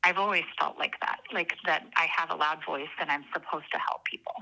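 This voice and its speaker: A woman's voice: deep voice, steady tone, brisk, creaky, sharp tone, poor audio. A woman calling in to an advice show, hesitant and searching for words as she talks emotionally. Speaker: caller. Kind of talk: phone-in conversation.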